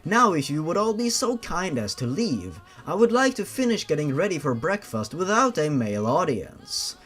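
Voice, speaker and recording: A man's voice: fairly high pitch, big pitch swings, moderate pace, a bit bright, breathy edge, heavily acted. A man voicing a polite young woman from a horror fan story, in formal phrasing. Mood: cold. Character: polite young woman, kind to children, coolly menacing.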